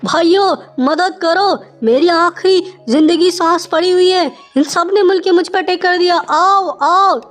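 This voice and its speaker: A woman's voice: extremely high pitch, measured pace, hardly any pauses, faint music behind, pitched above the performer's own voice. A woman voicing a proud, cocky male bull in a children's animal story, speaking emphatically. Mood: desperate. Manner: scornful, emphatic.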